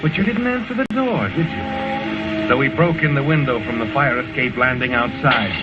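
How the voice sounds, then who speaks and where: Old radio recording, loud music, actor as grim male narrator, knowing, deliberate, dramatic, very animated intonation. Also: medium pitch, dull audio, lightly acted. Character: male narrator grimly addressing a guilty woman. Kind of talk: 1940s-50s radio suspense narration.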